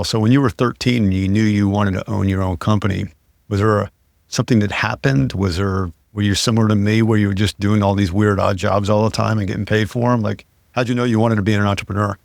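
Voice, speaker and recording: Laid-back guy hosting a podcast, low gravelly voice, dry mic. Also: quick pace, no echo. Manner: relaxed, chatty. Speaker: podcast host. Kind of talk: casual interview hosting.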